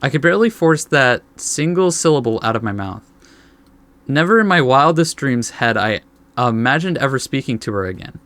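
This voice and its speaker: Male gaming host, medium pitch, casual, laid-back, reading game story aloud, informal commentary. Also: very animated, moderate pace, a bit bright. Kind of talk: casual let's play, reading story text aloud with reactions.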